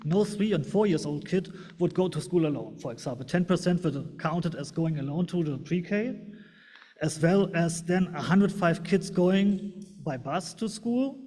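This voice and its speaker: A man's voice: on the high side, animated, measured pace, mellow. A man with a German accent, a German native speaker, earnestly giving a public comment at a board meeting in slightly non-native phrasing. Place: large meeting hall. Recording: long hall reverb.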